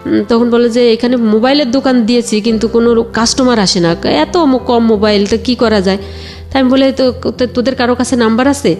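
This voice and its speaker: Woman, Bangladeshi accent, higher pitch, conversational storytelling, halting delivery, music behind. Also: a little mellow. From Bagerhat area, Bangladesh. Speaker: guest telling own life story.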